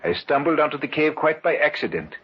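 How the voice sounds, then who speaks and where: An actor playing a solemn, mysterious foreign treasure seeker, speaking formally and with measured storytelling in an old radio drama. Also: male voice, on the high side, very animated, slightly rough. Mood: earnest, assured. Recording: muffled, hissy old radio recording.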